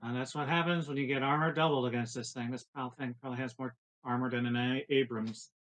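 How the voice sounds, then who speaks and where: A man's voice: mid-range voice, steady tone, fast talker, a bit bright, poor audio. A man talking casually as a player in a tabletop roleplaying game.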